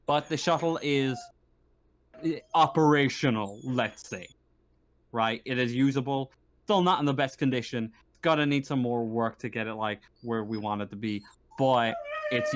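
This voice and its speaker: A man's voice: mid-range voice, moderate pace, noisy background. A man running a tabletop game casually as game master, hesitant and rambling, with an apologetic manner.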